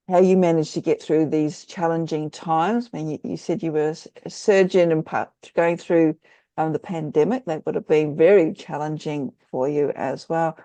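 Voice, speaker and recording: An interviewer with a mellow, soft-edged tone, recorded very dry. The phrasing is reflective and halting, wandering through casual conversational questions at a middle pitch. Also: no echo. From Australia or New Zealand. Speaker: podcast host, interviewer.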